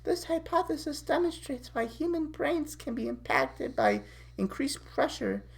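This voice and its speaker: A woman's voice: high voice, wildly swooping pitch, a little slow, gravelly, pitched above the performer's own voice, full character voice. A woman playing a bookish young woman with a prim, formal, wordy way of talking in a cartoon-style story. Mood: prim admiring.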